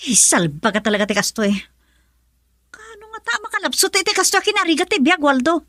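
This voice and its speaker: A woman's voice: high voice, very animated, brisk, fairly bright tone, dry recording, clean studio sound. An actress playing an exasperated wife who nags her husband about money, in a radio drama. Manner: scolding, nagging. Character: exasperated wife fed up with poverty.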